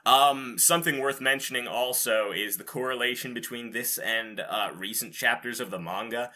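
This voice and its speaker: A man's voice: medium pitch, normal speed, pushed and bright. A man hosting a podcast, chatting casually about game news in an informal, rambling, casually profane way. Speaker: podcast host.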